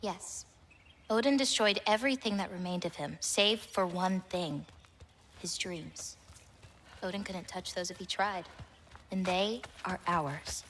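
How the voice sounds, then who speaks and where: A woman playing a gentle, self-reliant teenage giantess in a video game, speaking in a natural, expressive, conversational way. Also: on the low side, unhurried, slight background noise. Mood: solemn.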